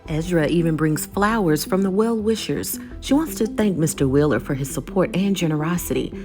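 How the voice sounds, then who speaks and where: Low-voiced woman narrating over loud music. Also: expressive, moderate pace. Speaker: YouTube host recapping a TV episode. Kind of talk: scripted recap narration.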